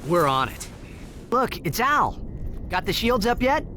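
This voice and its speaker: An actor playing a cocky young alien hero in a video game, casual and boyish. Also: male voice, extremely high pitch, sing-song, measured pace, sharp tone, slight background noise, heavily acted. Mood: baffled. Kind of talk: video game cutscene acting.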